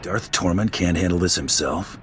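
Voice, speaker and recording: A man voicing a gruff, hardened bounty hunter in a video game, speaking in a terse, dry, unbothered way. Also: low-pitched, moderate pace, fairly bright tone, a little hiss or hum. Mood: businesslike.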